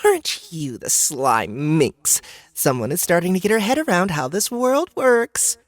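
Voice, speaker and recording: A woman's voice: lowish voice, a little slow, no room sound, studio quality. An actress playing a sly, upbeat wife and mother in a fantasy audiobook, with a playful delivery. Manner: playful, animated. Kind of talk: dramatic audiobook character dialogue.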